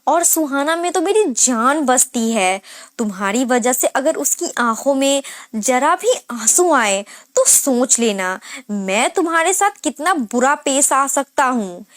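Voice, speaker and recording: A woman's voice: high voice, lively intonation, slow, few pauses, a bit bright, no room sound, clean studio sound, heavily acted. A woman voicing a cold, curt young newlywed husband in a narrated story, blunt and commanding. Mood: stern, warning.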